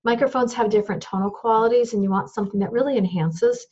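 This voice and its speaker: A woman with a high voice giving a practical lesson as a voice teacher, demonstrating microphones and cables as she explains. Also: quick pace, warm tone, husky, lo-fi sound. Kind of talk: instructional talk to camera.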